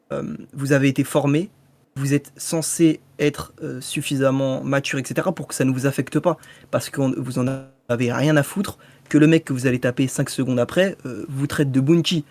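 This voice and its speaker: A male protester arguing his case in a debate, earnest and insistent as he presses each point. His voice sits at an ordinary middle pitch, and the recording is dry and close. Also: fairly even intonation, a little fast, a little mellow, no room sound.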